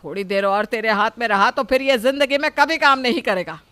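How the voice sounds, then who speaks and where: Woman acting a high-pitched, scolding mother-in-law. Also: fairly quick, slight background noise. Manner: sharp, nagging, exclamatory. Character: scolding, exasperated older Indian mother-in-law.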